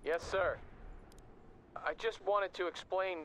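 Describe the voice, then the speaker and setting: An actor playing an eager, hot-headed tank captain in a video game war drama. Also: male voice, high-pitched, steady tone, slow. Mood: defensive. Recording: loud vehicle and battle noise.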